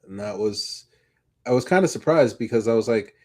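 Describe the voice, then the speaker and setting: A man's voice: on the low side, expressive, normal speed, darkish tone. A man co-hosting a casual live podcast, talking in a loose, rambling way and correcting himself as he explains. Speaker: podcast co-host.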